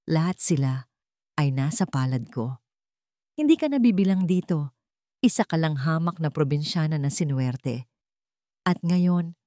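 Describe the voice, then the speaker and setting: Brief: smug vengeful ex-husband, voiced by a woman, slow sneering sarcasm, low and dry. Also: mellow, no echo. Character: scheming smug ex-husband, man.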